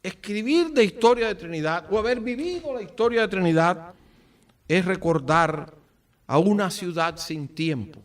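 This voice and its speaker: A Cuban man with a Cuban accent giving a formal commemorative speech, solemn and rhetorical, with deliberate phrasing and dramatic pauses. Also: high voice, animated. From Cuba. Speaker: speaker at a book presentation. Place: event at an office venue. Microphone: far from the speaker. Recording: distant microphone.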